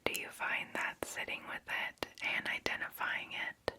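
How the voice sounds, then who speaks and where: A woman in her twenties speaking in a soft, unhurried whisper, gently soothing like a therapist in an ASMR roleplay, close to the microphone. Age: 20s. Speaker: ASMR performer playing a therapist. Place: small cozy indoor room. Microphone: close ASMR microphone, just off camera.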